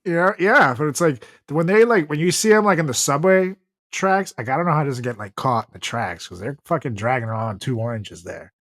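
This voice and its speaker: A man in a casual comedic podcast chat, telling stories in a profane, animated way. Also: a little high, brisk, no echo, clean studio sound. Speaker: podcast host.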